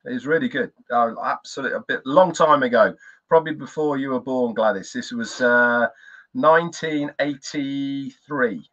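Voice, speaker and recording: An older man, a guest on a video call, talking in a relaxed, hesitant way with many fillers. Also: mid-range voice, moderate pace. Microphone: webcam on video call. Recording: dull lo-fi call audio.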